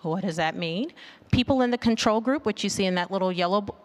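A woman's voice: mid-range voice, fast talker. A woman giving an informal conference presentation in a conversational way, asking rhetorical questions and checking in with the listeners. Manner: conversational, asks rhetorical questions, often adds "right?". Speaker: conference speaker, marketing research executive. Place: large indoor conference hall. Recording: long hall reverb from venue sound system.

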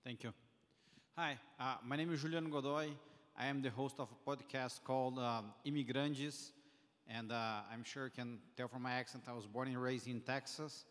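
A man's voice: mid-range voice, fairly even intonation, normal speed, fairly bright tone. A man with a Brazilian Portuguese accent asking a hesitant question from the audience at a conference, with many fillers and restarts. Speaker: podcast host asking a question. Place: conference hall. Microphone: handheld audience mic. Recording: roomy hall with long reverb.

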